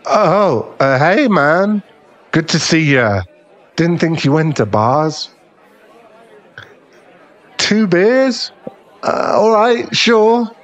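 A man playing a young werewolf bar owner in an ASMR boyfriend roleplay, teasing but secretly tender, with a halting, stammering delivery. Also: on the high side, very animated, a faint crowd, heavily acted. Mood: awkwardly friendly.

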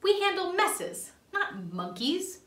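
A woman voicing a hot-tempered, self-serious male cartoon vegetable detective in a storybook, with snappy, deadpan delivery. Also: very high-pitched, slow pace, roomy sound, recorded from a distance, pitched above the performer's own voice, heavily acted. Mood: irritated.

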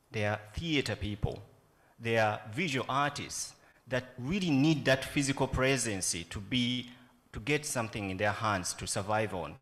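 A man with a Ugandan accent talks into a stand mic at a festival panel. His voice sits low and steady, earnest and reflective. A long hall reverb trails behind every phrase. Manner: earnest, reflective, asks many questions. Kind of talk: panel talk. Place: conference room with a yellow banner.

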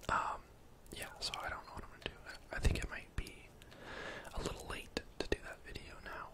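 A young man whispering casual, rambling ASMR commentary over a game, close to the mic in a breathy, unvoiced voice. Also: fairly quick. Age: young adult. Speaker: gaming ASMR host. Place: indoor room at home.

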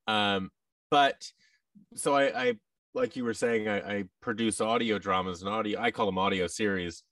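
A man's voice: fairly low pitch, normal speed, a bit bright, dry recording, distant mic. An American man in his thirties chatting casually as an interview guest, with a wry, self-deprecating manner. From United States. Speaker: guest, voice actor and audio drama producer. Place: large indoor studio room. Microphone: boom-arm microphone with headset, video call.

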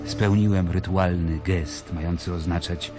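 An actor playing a stern, brooding Roman governor in an audio drama, solemn and commanding. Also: male voice, low-pitched, steady tone, a little slow, over music.

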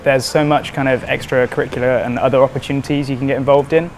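A man's voice: mid-range voice, level tone, quick pace, a little mellow, slight background noise. A young man in his twenties from England, a student interviewed indoors in a lounge in a casual way. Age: 20s.

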